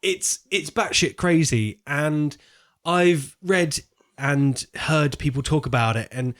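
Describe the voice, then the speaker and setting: A man's voice: a little high, takes it slowly, fairly bright tone. A man co-hosting a film podcast, talking in an informal, slangy, conversational way. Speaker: podcast co-host. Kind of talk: casual film podcast chat.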